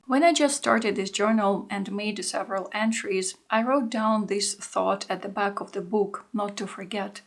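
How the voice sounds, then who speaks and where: Woman in her thirties, gentle reflective voice-over, slight fry at phrase ends. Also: medium pitch. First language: Russian. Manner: calm, unhurried, introspective. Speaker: art journaling creator.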